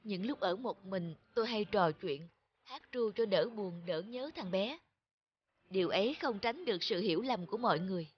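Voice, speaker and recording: A woman as a gentle, lonely cartoon neighbour. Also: mid-range voice, expressive, moderate pace, dull audio, slight background noise, lightly acted. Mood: wistful. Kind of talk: animated moral-tale dubbing.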